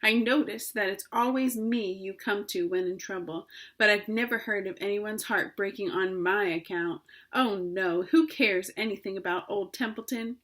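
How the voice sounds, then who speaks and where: A woman's voice: medium pitch, normal speed, hardly any pauses, fairly bright tone, natural voice. A woman voicing a lazy, grumpy male rat who talks in a sarcastic, mocking grumble.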